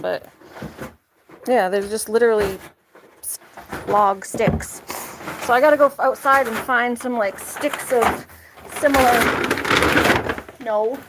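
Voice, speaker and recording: A woman's voice: fairly high pitch, background noise. A young woman chatting casually to camera while doing farm chores outdoors, laughing often and cooing at her animals. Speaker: farm vlogger.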